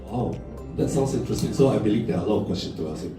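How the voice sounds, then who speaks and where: Male moderator, bouncy and teasing, lively delivery in a roomy hall. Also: lowish voice, racing. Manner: playful, teasing, switches between English and Mandarin. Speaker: panel moderator. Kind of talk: lively event hosting, joking banter. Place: conference hall on stage. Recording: echoey hall from far off with music.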